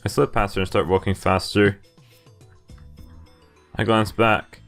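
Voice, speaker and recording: Low-voiced man reading game text aloud. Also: flat delivery, a little slow, gravelly, a bit bright. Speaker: video game playthrough host. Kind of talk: reading a visual novel's text aloud, dramatized narration. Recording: game music behind the voice. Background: game music.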